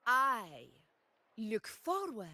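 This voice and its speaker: A proud female ruler, high and bright, declaiming solemnly to her gladiators. Her delivery is slow and grand, swinging widely in pitch like a ceremonial game cutscene. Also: very animated, dry recording, full character voice. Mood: rousing, grand. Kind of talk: ceremonial speech in game voice acting.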